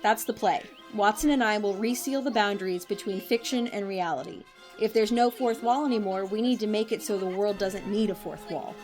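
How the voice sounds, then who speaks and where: A woman's voice: medium pitch, fairly quick, darkish tone, soft background music, lightly acted. A woman playing a commanding, wry mission director in an audio drama, speaking with determination. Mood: brisk, resolute.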